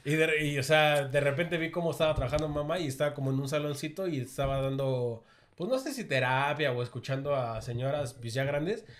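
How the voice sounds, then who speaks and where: A man's voice: a little high, brisk. A young man co-hosting a podcast, chatting crudely and joking with slang. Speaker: podcast co-host. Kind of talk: casual banter.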